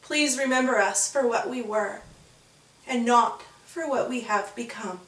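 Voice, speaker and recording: A woman's voice: on the high side, measured pace, fairly bright tone. Woman voicing a proud, jealous magical creature, echoing. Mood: proud sorrow. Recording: roomy, distant from the mic.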